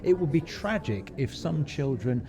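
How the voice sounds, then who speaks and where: British man, podcast host, mid-range voice, presenting and interviewing over clear chatter from a crowd. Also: mid-range voice, very animated, normal speed. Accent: British English. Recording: busy crowd chatter behind. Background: chatter of people at a venue.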